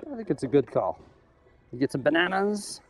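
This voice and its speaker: A young man filming himself in a big store, chatting casually as he weighs up prices and thinks out loud. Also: on the high side, sing-song, brisk, heavy background noise. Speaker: vlogger. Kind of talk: casual shopping vlog. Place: large store aisle, indoors. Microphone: camera held at arm's length.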